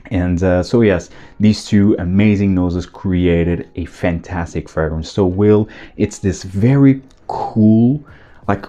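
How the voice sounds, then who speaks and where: A man's voice: fairly low pitch, mellow. A man with a Portuguese accent giving a casual, enthusiastic video review as a channel host.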